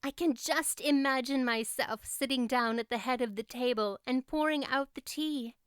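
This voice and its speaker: Audiobook role: woman as exuberant young girl, high clear voice, gushing and dreamy. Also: normal speed, a bit bright, no room sound, studio quality. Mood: dreamy, eager. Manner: gushing, breathless enthusiasm. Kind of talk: audiobook character voice.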